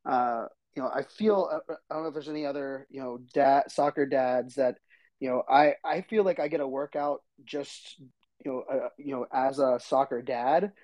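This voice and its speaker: A middle-aged man with a slightly hoarse voice, hosting a podcast in casual, rambling talk with lots of fillers. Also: mid-range voice, fairly even intonation, normal speed, darkish tone, dry recording, lo-fi sound. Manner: rambling, chatty, many fillers. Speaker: podcast host.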